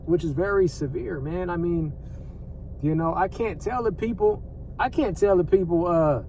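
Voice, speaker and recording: An American man with a slightly higher-than-average voice talks off the cuff like a vlogger commenting on the news. He is conversational and repetitive, using slang and swearing freely. The recording is a little distant, with faint background noise. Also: moderate pace, mellow, highs cut off.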